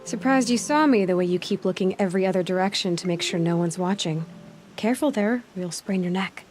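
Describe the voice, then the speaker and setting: An actress as wry, headstrong young huntress. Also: female voice, medium pitch, fairly quick, a little mellow, faint music behind, natural voice. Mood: teasing, confident. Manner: direct, questioning, dry sarcasm. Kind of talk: video game cutscene acting.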